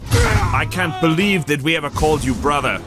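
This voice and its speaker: Higher-voiced, scornful paladin. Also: male voice, huge pitch swings, moderate pace, gravelly, music in the background, full character voice. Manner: declamatory, contemptuous. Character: bitter, scornful male paladin knight. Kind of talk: video game cinematic acting.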